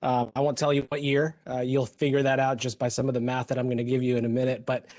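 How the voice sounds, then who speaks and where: A man's voice: medium pitch, completely flat delivery, fast, a little mellow, no room sound, studio quality. A man from the Philippines telling colleagues his personal story, hesitant, with many fillers and restarts. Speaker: hospital executive.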